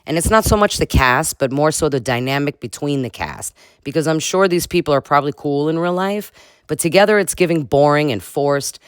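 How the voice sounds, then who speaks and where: A man's voice: fairly high pitch, brisk, smooth, no room sound. A man hosting a comedic reaction video, trading sarcastic asides with little chuckles.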